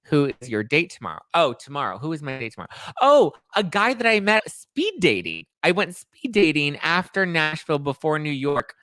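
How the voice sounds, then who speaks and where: A man's voice: on the high side, very animated, moderate pace, few pauses, bright, forceful tone, no room sound. A man in his late twenties hosting a live stream, reading viewer comments aloud and answering them in a candid, chatty way. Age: 20s. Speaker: podcast host reading live chat. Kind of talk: casual live-stream chat.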